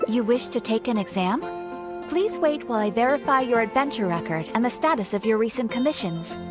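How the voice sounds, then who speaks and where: Woman as a high-voiced formal guild receptionist. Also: expressive, a little fast, over music, natural voice. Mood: welcoming. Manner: polite, formal, helpful. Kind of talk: scripted video game character acting.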